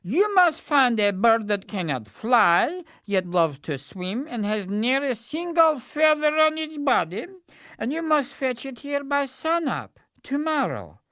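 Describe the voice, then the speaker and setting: A man voicing a sly, smug royal servant in a storybook tale, with a drawn-out, mock-official manner. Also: very high-pitched, big pitch swings, pushed and bright, no room sound, studio quality, pitched above the performer's own voice, exaggerated voice. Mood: smug, teasing.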